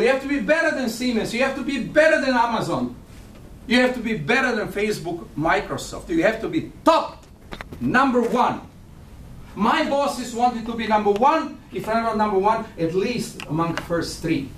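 A man's voice: very high voice, animated, moderate pace, a little hiss or hum. A man in his sixties from Croatia, speaking English with a Croatian accent, giving an emphatic, provocative keynote lecture and moving about the stage. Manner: emphatic, provocative, pacing and gesturing. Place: large conference hall. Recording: echoey hall from far off.

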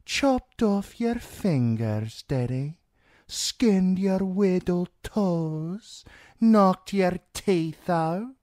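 A man voicing a mocking, menacing, bawdy washerwoman in an audiobook, with drawn-out colloquial taunts. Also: sits high, animated, a little mellow, no room sound, clean studio sound, pitched above the performer's own voice, exaggerated voice. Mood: mock-tender menace. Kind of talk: dramatic audiobook character acting.

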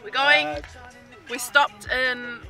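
A woman's voice: sits high, fairly even intonation, drawn-out words, vocal fry, sharp tone, faint music behind. A young woman, chatting playfully and giggling as she vlogs into a phone from a car. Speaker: vlogger. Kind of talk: casual vlog chat. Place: inside a car.

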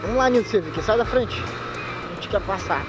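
A man talks in a relaxed, slangy way while he rides a motorcycle outdoors. The sound comes from a helmet-mounted camera mic. Music plays clearly underneath his voice. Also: high-pitched, normal speed. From Manaus, Brazil. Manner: chatty, laid-back slang, frequent laughs. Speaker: motovlogger. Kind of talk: casual motorcycle vlog commentary. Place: riding a motorcycle on city streets outdoors.

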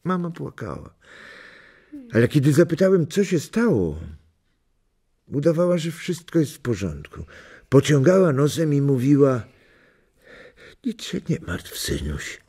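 Old grandpa in kids radio play, playful n affectionate, slow, super swoopy storyteller voice. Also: male voice, on the high side, very animated, mellow, breathy edge, exaggerated voice. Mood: wistful, hesitant. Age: 70s. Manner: chuckling, theatrical, hesitant. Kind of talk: children's radio play acting.

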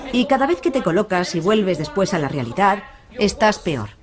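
An actress voices a blunt woman counsellor in a dubbed documentary, pressing her point in Castilian Spanish. Her pitch is average, and a clear murmur of people sits behind her. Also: sing-song, moderate pace, lightly acted. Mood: blunt, insistent. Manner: blunt, insistent. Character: blunt, no-nonsense woman counselling recovering addicts. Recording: busy room chatter behind.

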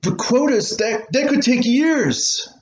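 A man's voice: extremely high pitch, expressive, slow, roomy sound, lo-fi sound, pitched above the performer's own voice, heavily acted. A man voicing a timid, stammering young schoolboy in a radio play. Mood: hesitant, hopeful. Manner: nervous, stammering. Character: timid young schoolboy answering his stern mother.

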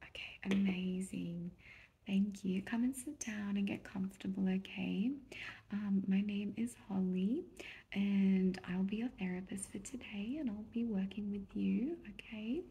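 Woman, slow pace, mellow soft voice, half-whispered ASMR, gentle therapist roleplay, keyboard typing behind. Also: mid-range voice, fairly even intonation, gravelly. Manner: hushed, often slipping into whisper; gentle, reassuring questioning. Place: small indoor room at a desk. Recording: soft background noise, keyboard clicks. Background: computer keyboard typing.

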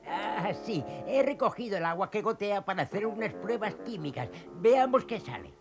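A man's voice: sits high, expressive, moderate pace. A man voicing a curious little old gnome scientist in a cartoon, with music behind. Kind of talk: cartoon dub acting.

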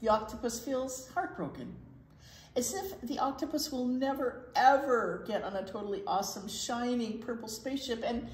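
An American woman in her sixties reads a picture book aloud as a children's storyteller, expressive and explaining things simply. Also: on the high side, normal speed. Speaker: children's storytime reader at a library. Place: library children's room, indoors. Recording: roomy, echoey indoor sound.

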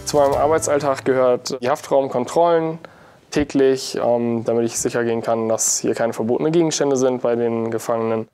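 A male prison officer explains his daily work for an interview. His voice is mid-pitched and mellow, and his delivery is measured and matter-of-fact.

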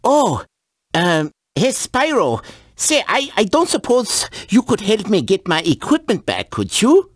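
A woman playing an excitable, flustered scientist in a video game, stammering and exclaiming. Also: mid-range voice, unhurried, slightly rough, dry recording, clean studio sound, full character voice. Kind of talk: animated video game character dialogue.

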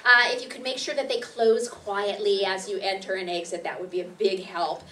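A woman's voice: medium pitch, fairly even intonation, normal speed, pushed and bright. A woman host and instructor giving welcoming announcements to a live audience in an indoor room. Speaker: acting instructor and event host. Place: meeting room stage, indoors. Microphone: far camera. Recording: distant camera mic, clear background noise.

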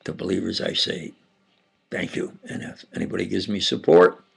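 An older man with an Upstate New York and New Jersey accent, giving a halting, insistent monologue lecture with sudden loud emphasis. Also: deep voice, little pitch movement, vocal fry, slightly rough. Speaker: self-styled preacher lecturing on camera.

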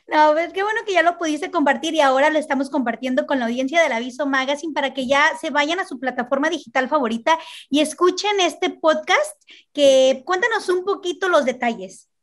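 A young adult woman interviewing a guest over a video call from home, warm and enthusiastic. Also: high-pitched, a little fast. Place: home room.

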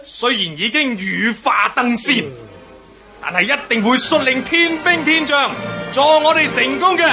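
An actor playing a boastful, bloodthirsty rebel warlord in a Cantonese historical radio drama, declaiming and commanding with a Hong Kong accent. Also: male voice, very high-pitched, unhurried, over music. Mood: rallying, fierce. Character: boastful, bloodthirsty male rebel warlord rallying his followers.

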